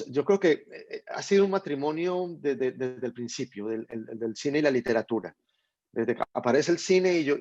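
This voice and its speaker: A man's voice: mid-range voice, darkish tone, no echo. Colombian man musing hesitantly on a video call. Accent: Colombian (Medellín). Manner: thoughtful, many restarts and repeated words. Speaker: guest writer. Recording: dull lo-fi video call audio.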